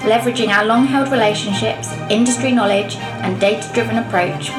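Woman, high voice, promo read, echoey, loud background music. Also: fairly quick. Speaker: ad voice-over. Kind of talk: scripted advertisement. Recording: roomy echo over loud music.

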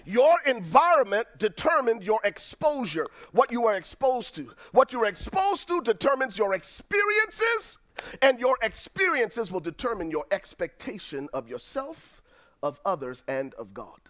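A middle-aged man with a Liberian accent, preaching a sermon as pastor, swinging from near-shouts down to low, quiet lines. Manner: preaching with dramatic swings, rising to shouts then dropping low.

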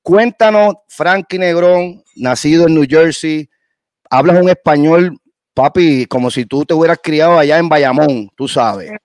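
A man's voice: fairly high pitch, unhurried, darkish tone, dry recording. A man, hosting an interview in a chatty, enthusiastic way. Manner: chatty, enthusiastic, hype-building. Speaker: host. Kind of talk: casual interview chat.

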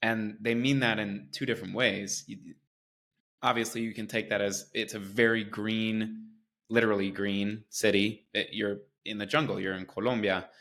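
Man, from the United States, low voice, flat intonation, earnest, enthusiastic, interview guest. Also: moderate pace. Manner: earnest and enthusiastic, with repeated restarts. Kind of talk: conversational interview.

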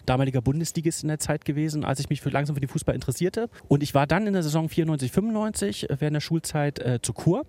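A man's voice: on the high side, very fast, few pauses, warm tone. A man in his mid-thirties from Dresden, a football fan telling his story in an interview, earnest and reflective with small hesitations. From Dresden, Germany. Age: 30s.

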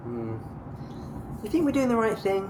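A man's voice: high-pitched, huge pitch swings, normal speed. A man playing an anxious, hesitant, old-fashioned husband in a naturalistic audio drama. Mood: worried. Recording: echoey, clearly noisy ambience.

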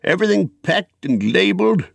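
A man's voice: fairly high pitch, animated, measured pace, vocal fry, no room sound, voice pushed up, full character voice. A man voicing a fussy, wistful, elderly hobbit in a storybook, with polite, rambling, exclamatory speech. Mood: excited, happy. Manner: polite, rambling, exclamatory old-fashioned English.

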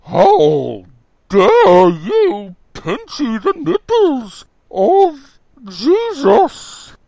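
A towering, wrathful zealot father, roaring out his damnations in a slow, gravelly drawl. His pitch swings wildly as each word is dragged out and thrown like a sermon of hate. It is dry and close, with nothing of the room around it. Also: huge pitch swings, mellow, no echo, heavily acted. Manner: slow, drawn-out preacher-like bellowing.

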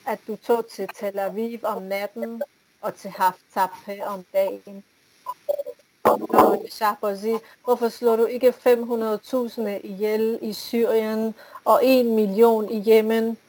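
Woman, poetry reader, very slow and solemn, dry sound. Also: fairly high pitch, no room sound, low-quality recording. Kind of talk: poetry reading.

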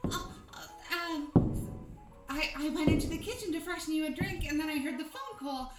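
In an echoey room, a high female voice of an actress plays a film noir housewife. She is sugary and placating, yet nervous, hesitant and evasive. She sounds away from the mic, with music behind. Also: expressive, normal speed. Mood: flustered, defensive. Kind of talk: improvised film noir audio drama. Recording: roomy echo, distant mic, music behind.

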